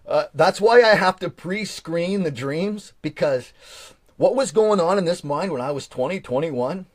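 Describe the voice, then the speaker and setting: A man's voice: a little high, animated, a little slow, no room sound. A man in his forties chatting casually on a live stream, reading from an old journal and rambling with frequent restarts. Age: 40s.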